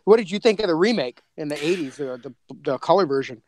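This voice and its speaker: Higher-pitched man in casual podcast banter. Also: animated, fast, slight background noise. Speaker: podcast co-creator, panelist. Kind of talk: casual chat.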